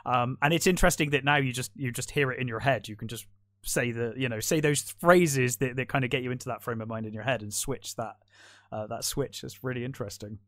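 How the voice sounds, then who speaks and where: A man's voice: medium pitch, brisk, fairly bright tone, no echo. A male interview host asking questions in a curious, eager way. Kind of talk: casual interview chat.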